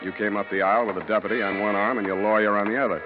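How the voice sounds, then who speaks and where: An actor playing a street-wise, unimpressed private detective, narrating and talking in a wry, slangy, deadpan 1940s radio style. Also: male voice, fairly low pitch, racing, close to an everyday voice. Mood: wry, matter-of-fact. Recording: muffled old 1940s radio recording with music.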